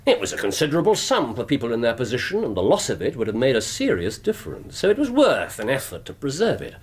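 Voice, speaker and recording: An actor playing a shrewd, scornful Victorian detective in a British radio drama, English accent, speaking with precise, theatrical delivery. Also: male voice, medium pitch, wildly swooping pitch, quick pace, husky. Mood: expounding, dry.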